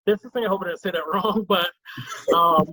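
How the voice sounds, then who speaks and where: A man's voice: sits high, a little fast, pushed and bright, low-quality recording. A man hosting an interview show, opening with upbeat introductions. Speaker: host.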